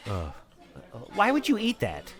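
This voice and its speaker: A man's voice: sits high, wildly swooping pitch, normal speed, soft background music. Man playing a fussy, swooping nervous accountant. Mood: prodding. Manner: hesitant, stammering, earnestly nagging. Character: fussy, nervous male accountant on the run, politely persistent. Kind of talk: acted film script read.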